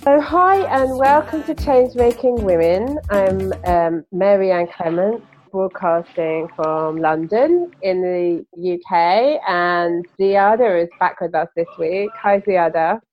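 A woman's voice: mid-range voice, slow pace, few pauses, darkish tone, highs cut off, soft background music. A woman hosting a podcast from London, chatting loosely with frequent ums and restarts. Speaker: podcast host. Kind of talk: casual conversational interviewing.

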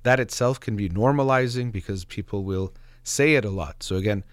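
A man's voice: lowish voice, a little fast, no echo. A man hosting a radio show, talking reflectively on his own in an explanatory, slightly hesitant way, close to the microphone. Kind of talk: reflective solo talk show monologue.

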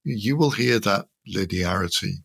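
Expert guest, male, sixties or older. Deepish, creaky voice, thoughtful and halting technical explanations. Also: lively intonation, a bit bright, no echo. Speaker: interview guest, loudspeaker designer.

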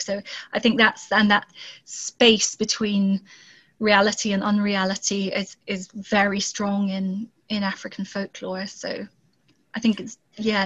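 A woman author raised in Zambia, talking thoughtfully in a conversation, often pausing and restarting her sentences. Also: mid-range voice, a bit bright, dull audio. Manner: thoughtful, hesitant with many restarts. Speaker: children's author. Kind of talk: reflective conversation.

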